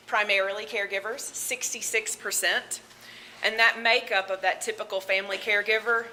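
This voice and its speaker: A woman with a Southern accent, a medical social worker giving a talk at a conference, loud and chatty with nervous laughs. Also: mid-range voice, slight background noise, low-quality recording. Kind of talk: conference presentation. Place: conference room with audience.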